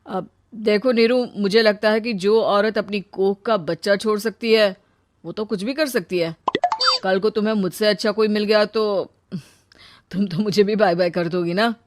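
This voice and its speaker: A woman's voice: medium pitch, steady tone, moderate pace, dry recording, clean studio sound, close to an everyday voice. A woman storyteller voicing a confident, insistent young man, a suitor and husband. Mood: displeased, accusing. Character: rich young man, smooth suitor turned suspicious husband.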